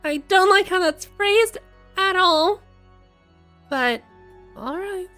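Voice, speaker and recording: A woman's voice: very high-pitched, takes it slowly, bright, forceful tone, soft background music. A woman giving casual, skeptical and sarcastic reaction commentary as she streams a game story.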